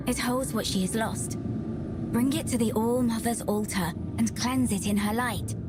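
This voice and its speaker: An actress voicing a cryptic female ghost in a video game, speaking slowly and solemnly in riddles. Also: a little high, lightly acted. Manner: slow, solemn, riddling speech. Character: ghostly woman spirit, cryptic, aloof. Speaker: game character. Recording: game audio with loud music behind.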